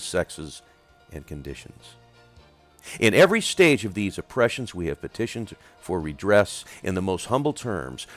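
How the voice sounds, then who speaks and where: Male reader, low register, widely varied intonation; solemn oratorical delivery of a historic document, deliberate and emphatic, music underneath. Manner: oratorical, deliberate phrasing with emphatic swells and falling phrase endings. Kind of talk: solemn dramatic recitation of a formal document.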